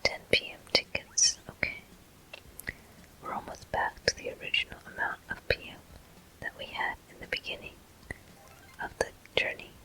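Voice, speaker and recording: A person whispering gentle, unhurried ASMR commentary as a video game walkthrough host. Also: rough recording. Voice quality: soft, breathy whisper.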